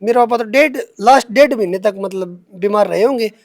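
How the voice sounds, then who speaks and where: A young man with a Haryanvi accent speaks in a strikingly high-pitched voice. He is giving an interview in his own defence, colloquial and emphatic, often repeating himself. The sound is close and dry, with very little room in it. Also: a little fast, no echo. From Haryana, India. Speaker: interviewee answering accusations against him.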